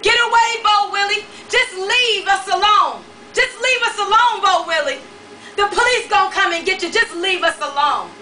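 Brief: woman performing a defiant young mother, high and loud, taken from afar in a roomy live venue. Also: normal speed, far from the mic, slight background noise. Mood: pleading.

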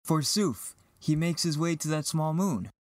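A man's voice: a little high, animated, a little slow, dry recording, clean studio sound. An actor playing an earnest, eager young farm boy hero in a Shakespearean dramatic reading. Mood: anxious, questioning.

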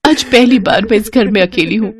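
A woman's voice: mid-range voice, brisk, a crowd in the background, close to an everyday voice. Female narrator as humble, determined young orphan heroine for a cartoon fable, earnest and pleading. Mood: sad, pleading. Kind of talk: animated moral story character acting.

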